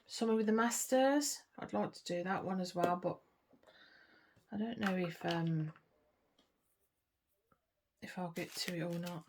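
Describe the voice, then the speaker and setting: A woman's voice: fairly low pitch, unhurried, pauses often, a little hiss or hum. A woman chatting casually to camera while she works on a craft kit, murmuring and trailing off, a little sniffly. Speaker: craft vlogger.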